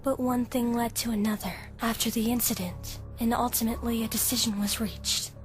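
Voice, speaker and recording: A woman's voice: fairly high pitch, flat delivery, lightly acted. A woman playing a teenage schoolgirl with a theatrical, spooky storytelling manner in an anime fan dub. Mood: eerie, ominous. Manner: hushed, drawn-out, ominous storytelling. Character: teenage schoolgirl telling an eerie ghost story, easily frightened. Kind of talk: dramatic ghost-story narration in an anime fandub. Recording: background music behind the voice.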